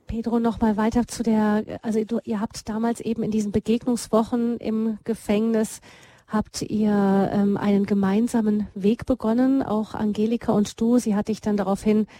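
A woman hosting a radio talk show, calmly thanking a caller and guiding the conversation. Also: medium pitch, normal speed. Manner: calm, thanks callers, sums up gently. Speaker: radio host.

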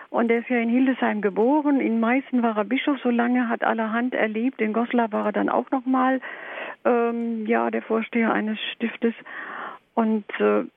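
A female caller with a gravelly edge, heard over a thin, tinny phone line. She talks in a chatty, inquisitive way, pressing with follow-up questions. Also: on the high side, dry recording. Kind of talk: radio call-in question.

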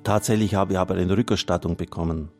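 Low-voiced man over guitar music. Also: fairly even intonation, fast talker, a little mellow. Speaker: radio host and priest. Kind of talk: reading out listener testimonials and appealing for donations on air.

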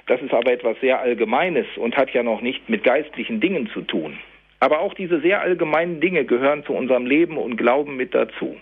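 A Protestant pastor giving a calm, reflective radio sermon, slowing down to stress key words. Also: male voice, medium pitch, gravelly, breathy edge. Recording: tinny phone-like radio broadcast.

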